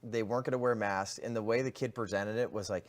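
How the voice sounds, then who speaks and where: A man hosting a political talk show, speaking in a steady, matter-of-fact way. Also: on the low side, fast. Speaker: host.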